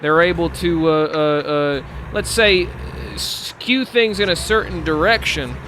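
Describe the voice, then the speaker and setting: Earnest, halting man over loud vehicle noise. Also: on the high side. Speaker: streamer. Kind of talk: casual chat over a game's CB radio. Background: truck and road sounds.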